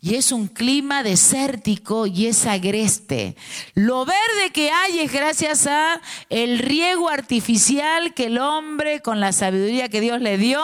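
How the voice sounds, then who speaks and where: A woman in her forties from Mendoza with an Argentine accent, preaching a sermon with fervent, drawn-out emphasis. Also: expressive, clean tone. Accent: Argentine Spanish. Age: 40s. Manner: fervent, drawn-out emphatic delivery with pitch swings.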